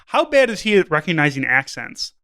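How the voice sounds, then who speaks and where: A male co-host with a bright, crisp voice sitting a little high. He banters casually and jokily, repeating words as he goes. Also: sing-song, brisk. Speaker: podcast co-host.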